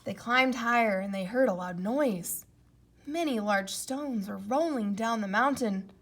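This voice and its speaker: A woman reading a children's storybook aloud as an expressive narrator. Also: a little high, measured pace. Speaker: storybook reader.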